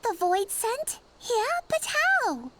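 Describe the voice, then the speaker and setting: A woman's voice: extremely high pitch, wildly swooping pitch, slow pace, a bit bright, a little hiss or hum, full character voice. A woman playing a small, haughty noble girl in a fantasy game, speaking formally with imperious indignation. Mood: startled demanding. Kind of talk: fantasy game cutscene acting.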